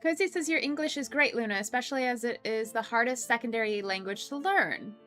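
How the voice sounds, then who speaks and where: A young woman streamer chats at her desk mic and reads out viewer comments. Her voice sits a bit high and sounds bright and clear. She laughs easily and fills gaps with ums and restarts, with faint music playing behind her. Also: brisk. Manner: chatty, laughs often, many ums and restarts. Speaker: streamer hosting a coworking stream. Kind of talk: casual chat reading viewer comments.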